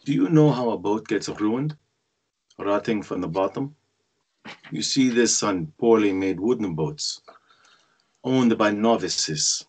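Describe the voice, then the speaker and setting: A man playing a brooding, bitter character who narrates his dark thoughts in a husky voice, in a script read. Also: on the low side, expressive, slow pace, pauses often, exaggerated voice. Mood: grim, reflective. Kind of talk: dramatic voice-over monologue in a script read. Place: indoors on a group video call.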